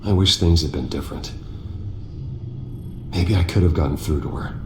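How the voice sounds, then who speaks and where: A man's voice: a bass voice, moderate pace, noisy background. An actor playing a brooding, exhausted young male billionaire hero who talks tersely and with determination. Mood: regretful. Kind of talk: video game voice acting. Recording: echoey game cutscene audio with music.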